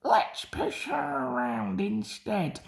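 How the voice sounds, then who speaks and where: A woman voicing a gang of rebellious, excitable railway trucks in a children's storybook. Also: on the high side, takes it slowly, creaky, voice pushed up, full character voice. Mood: sly whispering.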